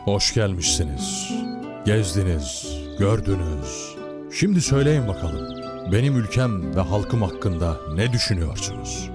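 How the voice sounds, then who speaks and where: Regal cartoon sultan: low voice, sweeping intonation, stately and gracious. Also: male voice, sing-song, measured pace, loud music behind the voice. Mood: gracious, commanding. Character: regal Ottoman sultan, commanding yet gracious. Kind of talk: cartoon voice acting.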